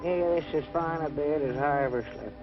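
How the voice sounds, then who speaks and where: A muffled old radio recording of a man playing a simple-minded country bumpkin. His voice is high and plaintive, with a rustic Southern accent. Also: moderate pace, music in the background, lightly acted. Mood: sleepy, querulous. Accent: Southern American. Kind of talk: 1940s radio comedy acting.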